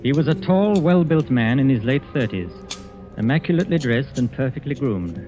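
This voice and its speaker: An actor as a grave radio mystery narrator. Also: male voice, mid-range voice, expressive, moderate pace, dark tone, close to an everyday voice. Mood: grave narration. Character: uneasy gentleman guest recounting a grim dinner. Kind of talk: dramatic old-time radio narration. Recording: dull vintage radio recording, music behind.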